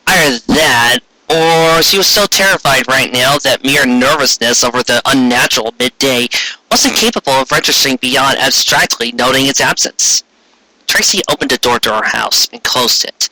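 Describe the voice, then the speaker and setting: A man's voice: medium pitch, pushed and bright, no echo, low-quality recording. A man reading a scripted fan fiction story aloud as its narrator.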